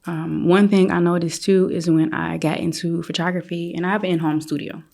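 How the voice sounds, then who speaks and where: A woman's voice: fairly low pitch, flat delivery, brisk, creaky, warm tone. A woman in her forties from Detroit, a photographer guest chatting casually and laughing easily on a podcast. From Detroit, USA. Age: 40s. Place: brick-walled podcast studio. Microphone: desk mic on stand.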